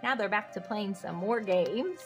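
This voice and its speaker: A woman's voice: mid-range voice, a little slow, soft background music. A woman reading a children's picture book aloud expressively, with sing-song refrains and little questions to young listeners. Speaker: read-aloud host.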